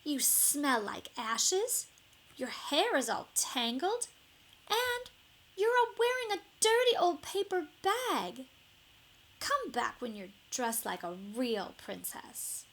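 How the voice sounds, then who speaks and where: A woman's voice: sits high, expressive, slow pace, fairly bright tone, voice pushed up, full character voice. A woman reading a children's storybook, voicing a vain, snooty young prince with whiny, exaggerated disdain. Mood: snooty, disgusted. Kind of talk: children's storybook read-aloud.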